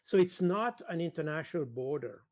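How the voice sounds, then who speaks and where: A man giving analysis as an interview guest, halting as he searches for words. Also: on the high side, expressive, a little mellow.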